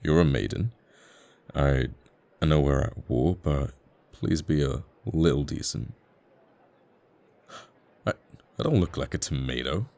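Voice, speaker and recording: A man playing a flirty, tender fighter pilot in a romantic ASMR roleplay, stammering bashfully and haltingly. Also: a bass voice, creaky, warm tone, heavily acted. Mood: flustered, curious.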